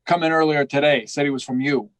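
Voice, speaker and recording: A man's voice: a little high, fairly even intonation, fast, no echo, lightly acted. A man playing an obliging, slippery hotel house detective in a radio crime play, eager and deferential. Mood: eager, nervous. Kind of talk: old-time radio detective drama acting.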